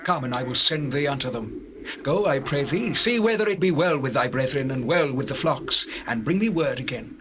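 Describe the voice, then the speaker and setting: A man's voice: medium pitch, lively intonation, normal speed, noisy background, natural voice. A man playing a stern old patriarch in a dramatized scripture recording, declaiming with authority. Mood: instructing. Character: stern old patriarch father of shepherd sons. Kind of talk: dramatized scripture, stage-trained acting.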